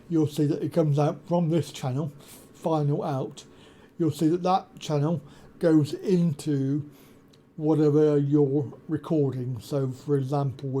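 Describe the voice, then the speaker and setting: Guy explaining his pc audio setup step by step, lots of ums, warm mellow sound, sits at his home desk. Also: on the high side. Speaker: tech tutorial host. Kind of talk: screen-share software walkthrough. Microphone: desktop USB condenser mic.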